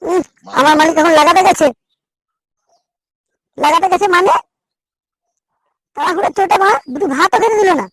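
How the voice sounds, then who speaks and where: A woman's voice: very high voice, normal speed, pauses often. A woman playing a rude, resentful young male worker in a comic cartoon, complaining insolently. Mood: resentful, grumbling.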